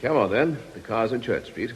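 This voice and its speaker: An actor playing a genial, mild-mannered English parish priest in a radio drama, courteous and conversational. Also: male voice, mid-range voice, lively intonation, normal speed, close to an everyday voice. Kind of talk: British radio drama acting. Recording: echoing church acoustic, hissy old radio recording.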